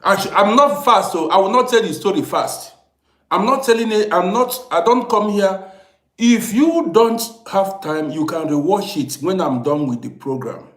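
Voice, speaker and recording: A man's voice: high-pitched, lively intonation, moderate pace, fairly bright tone. A man of fifty-eight from Vienna hosts an informal livestream, talking to viewers emphatically and repeating his phrases insistently. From Vienna, Austria. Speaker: live-stream host and storyteller. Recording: echoey room sound.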